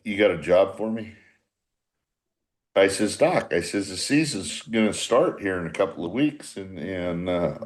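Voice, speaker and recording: A man in his sixties with a fairly low voice talks like an old ball manager telling stories. He rambles, circles back over his phrases and speaks bluntly, with salty language, in a casual group podcast style. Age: 60s. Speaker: former baseball manager.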